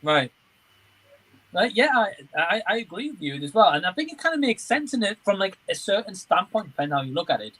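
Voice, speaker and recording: A man's voice: sits high, very animated, fairly quick, fairly bright tone, husky, rough recording. A man hosting a livestream, chatting informally and agreeably, rambling a little and reading out comments. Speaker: livestream host. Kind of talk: casual livestream chat, reading out viewer comments.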